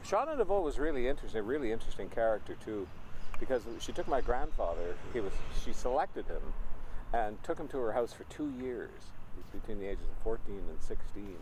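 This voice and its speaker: An older man in his eighties from Prince Edward Island, telling family stories as an interview guest in a relaxed, rambling, wry way. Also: lowish voice, brisk. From Prince Edward Island, Canada. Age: 80s. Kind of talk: casual storytelling conversation. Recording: noisy background.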